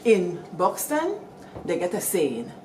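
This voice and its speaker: A middle-aged Guyanese woman hosting, telling village stories in a lively, animated way and calling out to her listeners. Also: lowish voice, slow, a little hiss or hum. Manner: lively, animated storytelling with call-outs to listeners. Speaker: storyteller host. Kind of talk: storytelling talk.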